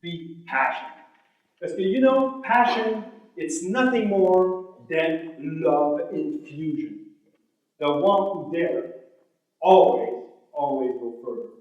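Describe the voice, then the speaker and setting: A man's voice: a little high, slow pace, muffled recording. A man with a French-Canadian accent, a native French speaker, giving a motivational keynote speech and acting out his stories with animation. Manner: animated storytelling, acting out dialogue. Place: large room, speaking to a live audience. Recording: very echoey hall sound.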